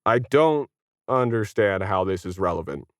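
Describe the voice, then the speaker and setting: A man's voice: lowish voice, lively intonation, moderate pace, no echo, studio quality, pitched below the performer's own voice, lightly acted. A man voicing a stubborn, skeptical ordinary man who complains indignantly in a science fiction story. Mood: complaining. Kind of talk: acted sci-fi storybook dialogue.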